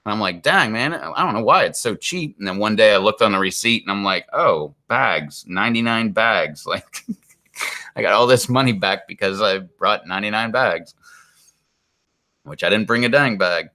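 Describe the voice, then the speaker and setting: A man's voice: on the low side, animated, normal speed, pushed and bright. A man, chatting casually to stream viewers in a rambling, exasperated way full of "like". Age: 40s. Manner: rambling and exasperated, heavy use of "like". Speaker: live stream host.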